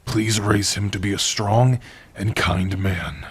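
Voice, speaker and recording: Man voicing a very husky, gentle orc. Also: steady tone. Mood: somber reading. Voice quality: husky, breathy, low. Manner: soft, halting, hushed, intimate. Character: gentle, protective male orc, tender and worried partner. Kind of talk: ASMR roleplay acting.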